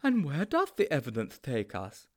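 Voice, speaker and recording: A man's voice: mid-range voice, huge pitch swings, normal speed, voice pushed up. A man voicing a timid, muddled, admiring storybook sidekick who asks questions in a naive, plaintive way. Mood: puzzled. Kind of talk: storybook character voice.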